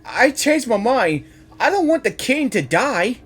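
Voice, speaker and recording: A woman's voice: a little high, very animated, a little slow, slightly rough. A woman giving live gaming reaction commentary, exclamatory and often repeating phrases. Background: faint game music.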